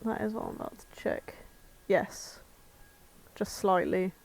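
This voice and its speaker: A woman's voice: lowish voice, expressive, measured pace, gravelly, a little mellow. A British woman chatting casually as a podcast co-host.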